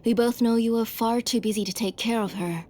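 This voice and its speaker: A woman playing a gentle, middle-aged mother weighed down by guilt, speaking earnestly in a video game. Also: fairly high pitch, brisk, close to an everyday voice. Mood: apologetic. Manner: gentle, earnest, sorrowful, sighing. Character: gentle guilt-ridden mother, a former master smith. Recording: music behind.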